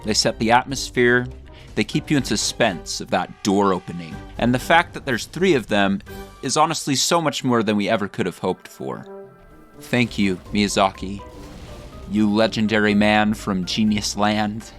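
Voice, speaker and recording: A man's voice: on the low side, normal speed, fairly bright tone, soft background music. A man delivering scripted satirical video commentary in a mock-serious way, with exaggerated theatrical emphasis. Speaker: video creator and narrator.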